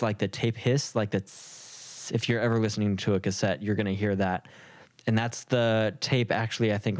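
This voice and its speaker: A man's voice: on the low side, darkish tone, no echo, studio quality. A man talking casually and reflectively in an informal, rambling, slangy way. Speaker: music producer.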